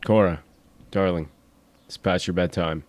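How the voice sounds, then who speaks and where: A man's voice: deep voice, fairly even intonation, a little slow, darkish tone. A man playing a firm but fond father in an audio drama. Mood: gently firm.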